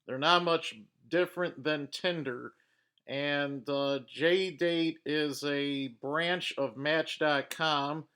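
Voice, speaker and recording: Bright, crisp-voiced man reacting to clips. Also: fairly high pitch, fairly even intonation, slow pace. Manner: blunt, judgmental commentary with frequent uh fillers. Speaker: reaction video host. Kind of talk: commenting over paused clips.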